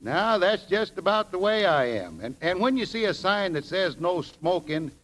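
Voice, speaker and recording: An actor playing an easygoing, modest, folksy fellow with a homely manner in an old radio comedy. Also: male voice, sits high, very animated, normal speed, fairly bright tone, rough recording. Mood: bashful, amiable. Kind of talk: 1930s radio comedy acting. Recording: faint hiss, vintage 1930s radio recording.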